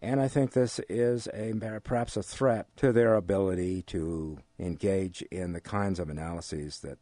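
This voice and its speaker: An older American man with a fairly low voice, recorded close and dry. He answers interview questions like a college teacher, choosing formal words deliberately and pausing to find them. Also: mellow, no echo. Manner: measured, deliberate, formal wording with hesitant pauses. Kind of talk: thoughtful studio interview. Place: radio studio.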